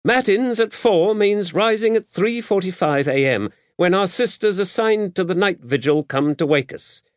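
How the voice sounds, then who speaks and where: A man voicing a gruff, stern, worldly-wise older nun with a brusque manner, in an audiobook. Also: high-pitched, animated, moderate pace, no echo, dull audio, pitched above the performer's own voice. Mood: brisk, drilled. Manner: stern, brusque, matter-of-fact. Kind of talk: audiobook character dialogue.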